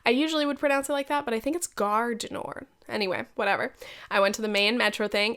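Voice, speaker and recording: A woman's voice: on the high side, fairly bright tone. Congested, nasal young woman chatting. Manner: chatty, swearing, self-deprecating, quick asides. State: congested, nasal from a head cold. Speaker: podcast host. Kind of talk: casual solo podcast chat.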